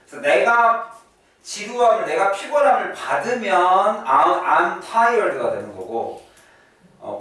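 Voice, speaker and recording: Man, English instructor, grammar lecture, lively intonation, repetitive emphasis, self-answered questions, very reverberant room. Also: fairly high pitch. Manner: emphatic, repeats key terms, asks and answers own questions. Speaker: English teacher at an academy. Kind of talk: classroom-style grammar lecture. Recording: echoey room, distant mic, faint noise.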